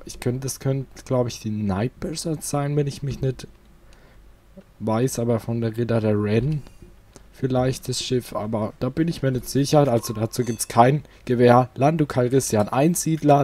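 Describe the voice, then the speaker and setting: A young man gives easygoing, chatty commentary while he plays a game. His voice is mellow and dark in tone. Faint noise sits behind him. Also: medium pitch, normal speed. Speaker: let's player. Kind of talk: casual gameplay commentary.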